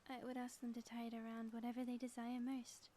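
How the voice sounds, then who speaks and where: A high, soft-toned supervillainess played by a woman from an ASMR audio roleplay. She is a grandiose schemer who is also a devoted spouse, and she phrases everything with theatrical formality. Faint noise sits behind the voice. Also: monotone, brisk, close to an everyday voice. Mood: tender, earnest.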